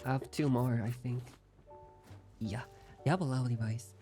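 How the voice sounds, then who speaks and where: A man's voice: mid-range voice, steady tone, moderate pace, mellow, faint music behind. Playful rambling young male streamer. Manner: rambling, playful, self-deprecating. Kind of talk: casual chat while gaming.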